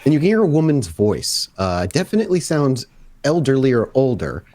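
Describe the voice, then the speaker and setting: A man narrating a tabletop roleplaying game as storyteller, describing scenes off the cuff with pauses. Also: medium pitch, sing-song, mellow.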